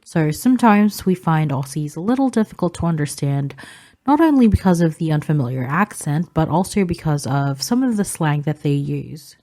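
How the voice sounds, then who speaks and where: A woman from the Philippines whose first language is Filipino, calmly explaining cultural differences in a vlog with frequent "um" fillers. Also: deep voice, moderate pace. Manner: calm, informative, frequent "um" fillers. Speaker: vlogger.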